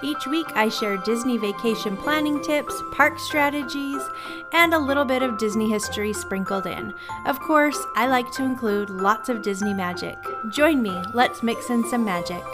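A woman's voice: a little high, moderate pace, music in the background. A woman hosting a podcast in a casual, upbeat and self-deprecating chat, a little nasal from a passing cold. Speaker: podcast host.

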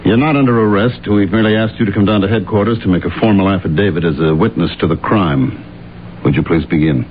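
An actor playing a courteous, businesslike police detective in an old-time radio drama, with formal, measured questioning. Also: male voice, low voice, close to an everyday voice. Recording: tinny, noisy old radio recording.

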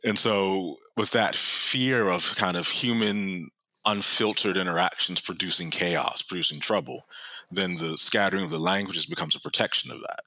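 Guest scholar, male, very deep, crisp bright edge, earnest teaching tone. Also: fairly even intonation, moderate pace, dry recording, rough recording. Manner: earnest explaining, laughs often. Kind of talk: conversational teaching.

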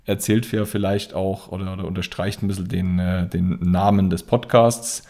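A man's voice: low voice, steady tone, normal speed, mellow. A man in his thirties from Rhineland-Palatinate with a Palatinate accent, co-hosting a casual podcast and stumbling through fillers and restarts. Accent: Palatinate German. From Rhineland-Palatinate, Germany. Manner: hesitant, many fillers and restarts. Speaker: podcast co-host. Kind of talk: casual chat.

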